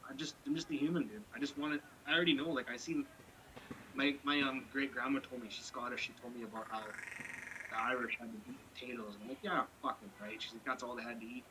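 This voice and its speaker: A man rattles off blunt, profane, rambling opinions at a quick clip. He speaks at an ordinary pitch for a man. Clear background noise runs under his voice. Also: steady tone. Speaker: podcast co-host. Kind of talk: casual chat.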